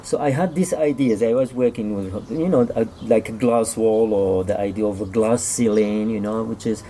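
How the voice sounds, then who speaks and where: A middle-aged man with a Kurdish accent, a native Kurdish speaker, giving halting, conversational interview answers as he searches for words. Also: on the low side, warm tone, a little hiss or hum. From Iraqi Kurdistan. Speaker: author and publisher, interview guest.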